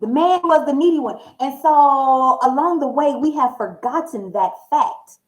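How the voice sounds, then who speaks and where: A woman's voice: high-pitched, measured pace, rough recording. An American woman hosting a channel, talking casually and candidly to viewers in emphatic, salty slang. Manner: candid, emphatic storytelling with acted-out dialogue. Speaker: host of a tarot reading channel. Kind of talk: casual personal talk to viewers.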